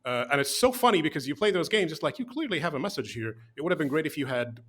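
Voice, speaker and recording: Male co-host, slightly high voice, long animated turns, mimics quotes. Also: fast. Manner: animated, acts out quotes in a higher voice. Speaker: podcast co-host. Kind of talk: casual video chat.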